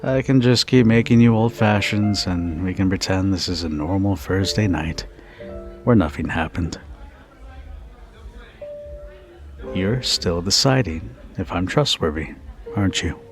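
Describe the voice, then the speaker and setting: Guy acting as a low voiced bartender, confident n teasing, kinda protective, slow intimate asmr roleplay w music behind. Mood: protective, flirty. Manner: teasing, unhurried, with soft chuckles and pauses. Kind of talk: ASMR roleplay, intimate second-person monologue.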